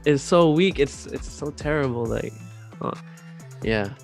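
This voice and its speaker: A man in casual chat, speaking in a halting, slangy, opinionated way. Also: medium pitch, expressive, gravelly. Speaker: podcast co-host. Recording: music under the voice.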